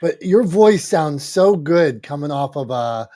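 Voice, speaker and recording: A man with a slightly high but soft, mellow voice, moderating a relaxed online panel. He invites guests in and hands them the floor. He sounds easygoing. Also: measured pace. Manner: friendly moderator who calls on speakers and hands over the floor. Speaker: co-host and moderator. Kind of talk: casual panel discussion in a social audio room.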